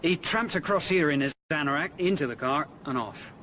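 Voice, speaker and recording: A surly, higher-pitched male caretaker. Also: animated, brisk, bright, forceful tone, a little hiss or hum. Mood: defensive, sarcastic. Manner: curt, prickly, sarcastic. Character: surly, defensive male caretaker, grudging and truculent. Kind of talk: full-cast radio drama acting.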